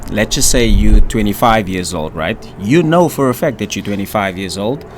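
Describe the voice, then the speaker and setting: A man co-hosting a casual coaching chat about self-concept, emphatic and fond of repeating phrases and saying "boom". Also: expressive, normal speed, slight background noise. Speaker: manifestation coach, co-host.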